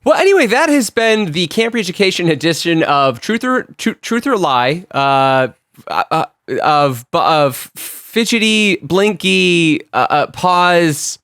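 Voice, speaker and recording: A man's voice: high-pitched, big pitch swings, slow pace, fairly bright tone, no room sound. A man co-hosting a casual podcast, reading quiz items off a list and laughing easily. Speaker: podcast co-host running a quiz.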